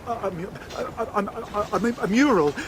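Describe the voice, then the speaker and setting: A frightened underling in a video game cutscene. His voice is very high for a man, nervous and stammering, with faint noise behind. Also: fairly quick, a bit bright. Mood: terrified.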